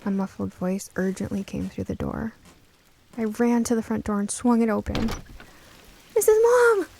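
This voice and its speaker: A woman narrating a scripted first-person story in a gentle, expressive way. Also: mid-range voice, moderate pace, creaky, noisy background.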